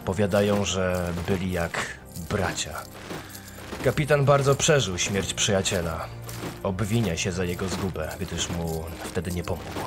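A man playing a sombre, matter-of-fact elven soldier in a fantasy audio drama, speaking in a grave, measured way. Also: low voice, music in the background. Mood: grave, respectful.

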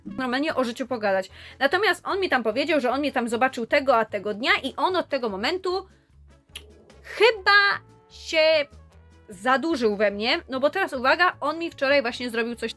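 A young woman vlogging to camera in a small room, telling a story in an animated, chatty way. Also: high-pitched, normal speed, faint music behind. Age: young adult. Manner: animated, chatty, gesturing with her hands.

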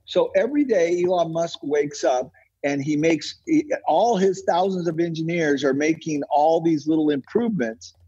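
A man's voice: fairly high pitch, normal speed, mellow, breathy edge, lo-fi sound. An American man giving opinionated interview answers as a guest, insistent and emphatic, repeating phrases for effect. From United States. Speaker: podcast guest, entrepreneur and author.

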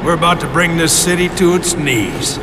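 An actor plays a wry, commanding operative in a game cutscene, coolly threatening. His male voice is a little high and dry in delivery. Loud vehicle rumble runs behind it. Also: animated, moderate pace, close to an everyday voice. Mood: wry threat. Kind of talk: video game cutscene acting.

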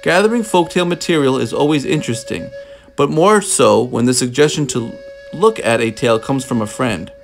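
A man reading a children's picture book aloud as a narrator, in measured phrases with deliberate pauses. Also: medium pitch, expressive, gravelly, faint music behind. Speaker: storybook narrator.

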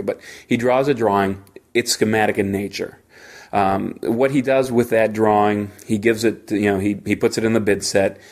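A man with a fairly low voice hosts a podcast on his own. He talks informally and haltingly, working through his thoughts aloud and often circling back to rephrase. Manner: halting, rambling, thinking aloud. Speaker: podcast host; engineer. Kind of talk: informal solo podcast monologue.

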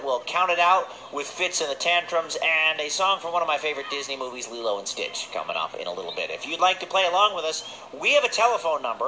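A man's voice: a little high, sharp tone. A man hosting children's radio, presenting and bantering in a casual live style. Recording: noisy recording off a speaker.

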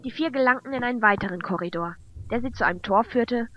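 A woman's voice: a little high, fast, lo-fi sound, a little hiss or hum. A woman narrating a fantasy audio drama in a scripted, dramatic storytelling style. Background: music.

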